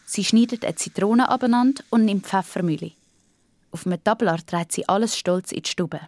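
A woman's voice: mid-range voice, moderate pace, darkish tone. Swiss woman narrating a children's story.

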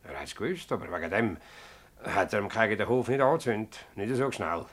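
A man's voice: on the low side, lively intonation, fairly bright tone. A man acting an agitated, evasive village mayor in a radio play, hedging and emphatic, with a Swiss German accent. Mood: agitated, defensive. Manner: hedging, emphatic, halting when pressed. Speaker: actor in a dialect radio play. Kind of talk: dialect radio drama acting.

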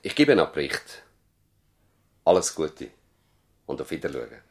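An older country doctor in a 1970s radio drama, with an extremely low voice. He speaks with a Swiss German accent and addresses people in a courteous, collegial, formal way. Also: big pitch swings, normal speed, exaggerated voice. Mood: courteous, businesslike. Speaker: actor in a radio play.

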